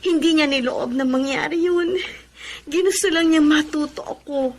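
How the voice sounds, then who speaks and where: An actress playing an earnest, emotional young jobseeker daughter in a Filipino radio drama, with a Filipino accent. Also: female voice, sits high, normal speed. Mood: content, cheerful.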